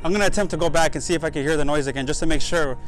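A young man with a slightly high voice. He talks casually as a vlog host investigating on location outdoors. Soft music plays faintly behind him. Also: racing. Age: young adult. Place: outdoors beside a lighthouse building.

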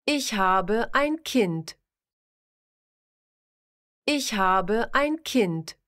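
A woman slowly reading simple example sentences for a language lesson, pronouncing each word carefully and repeating every sentence. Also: mid-range voice, expressive, a bit bright. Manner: careful, evenly paced, clearly enunciated.

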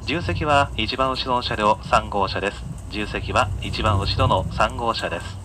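A man working as a train conductor, giving polite, formal announcements and sightseeing guidance to passengers in a measured way. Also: on the low side. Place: inside a moving train, over the announcement system. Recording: on-board PA captured amid train noise. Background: faint train running noise.

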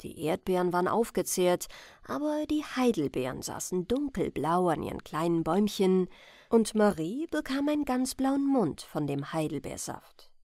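A woman storyteller reading a bedtime fairy tale as scripted narration, with expressive, careful phrasing. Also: fairly low pitch, moderate pace, dry recording.

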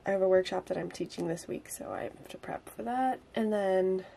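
A young woman in her twenties vlogging casually from her cozy wooden home, chatty and upbeat and a little hoarse from a cold. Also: medium pitch, brisk, dark tone, a little hiss or hum. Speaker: vlogger and songwriter. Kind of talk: casual chat to camera. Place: cozy wooden home kitchen and living room.

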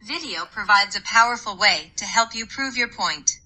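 Female text-to-speech reader, natural but synthetic, very sharp and bright, through a phone speaker. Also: medium pitch, animated, moderate pace, rough recording. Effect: phone speaker playback into a microphone. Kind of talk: text-to-speech document reading.

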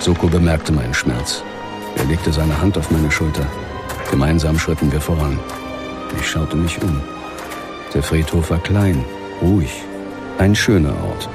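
Grieving middle-aged ghost hunter, low somber voice, horror audio drama with music under it. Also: male voice, creaky, full character voice. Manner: somber, measured. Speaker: lead actor and first-person narrator. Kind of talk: dramatic audio-play narration.

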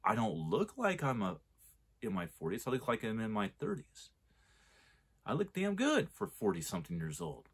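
Low-voiced man in his forties reviewing films. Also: huge pitch swings, normal speed, slightly rough. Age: 40s. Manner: rambling, restarts sentences, argues a point. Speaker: movie reviewer. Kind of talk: casual spoken film review.

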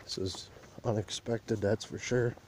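A man's voice: lowish voice, steady tone, unhurried, darkish tone, a little hiss or hum. A man vlogging on a phone as he walks a burnt field outdoors, talking in a plain, rambling rural way. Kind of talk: casual walk-and-talk vlog. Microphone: handheld phone camera while walking.

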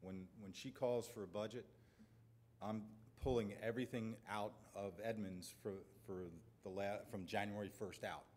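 Male department manager, fairly low pitch, factual and plain meeting presentation. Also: fairly even intonation, moderate pace, a little mellow, a little hiss or hum. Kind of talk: explanation at a public meeting. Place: council chamber, standing at lectern.

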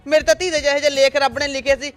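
A woman's voice: fast, a bit bright, faint music behind. A woman playing an older mother, pleading and lamenting, bristling when challenged. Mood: indignant, aggrieved. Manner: pleading, lamenting, pitch rises in arguments. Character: grieving older mother, defensive, talks back. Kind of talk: family drama skit.

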